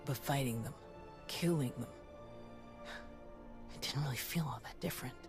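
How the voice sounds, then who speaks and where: An actress playing an earnest, troubled young Jedi in a video game, intense and impatient. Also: female voice, extremely low pitch, moderate pace. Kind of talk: video game dialogue acting. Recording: music behind the voice.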